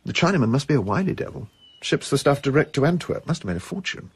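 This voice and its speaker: A jovial old-school colonial official in a radio play. His upper-class English voice is husky and very animated, rising and falling as he chats enthusiastically. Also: on the high side, fast talker, exaggerated voice. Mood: chatty, informative. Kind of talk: radio drama acting.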